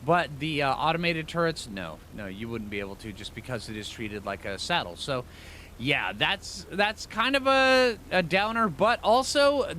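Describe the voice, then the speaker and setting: A man with a bright, fairly high voice talks through a video game as host. His pitch swings in a lively way as he thinks aloud in loose, slangy phrases. Faint game ambience sits behind him. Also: slight background noise. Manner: informal slangy phrasing, rambling asides. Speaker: gaming channel host. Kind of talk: casual gameplay commentary, thinking aloud.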